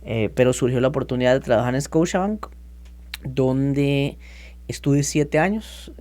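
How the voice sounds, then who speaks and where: A man in his thirties from Costa Rica, telling his story as a podcast guest in a lively, chatty way. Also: mid-range voice, unhurried. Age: 30s. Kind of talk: casual interview talk.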